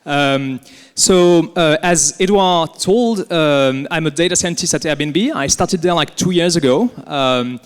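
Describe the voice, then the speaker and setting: A man's voice: a little high, slow, clean tone. French guy with an accent doing a laid-back workshop talk, lots of ums and repeats, hall reverb. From France. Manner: relaxed, many fillers and repeated words. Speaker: guest speaker. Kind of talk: casual workshop presentation. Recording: echoey hall.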